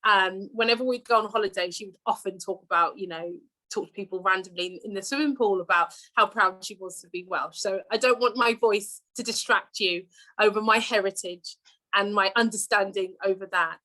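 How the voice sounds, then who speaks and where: A woman's voice: medium pitch, fairly quick, pushed and bright, low-quality recording. A woman with an English accent, a deputy head teacher, giving an earnest conference talk over a video call, with many fillers and repeated words.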